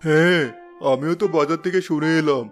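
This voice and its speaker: A man acting an indulgent village dad in a cartoon. He sounds reassuring and plain-spoken, pitched a little high for a man, with music clearly playing behind. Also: a little fast, lightly acted. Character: easygoing, indulgent village father.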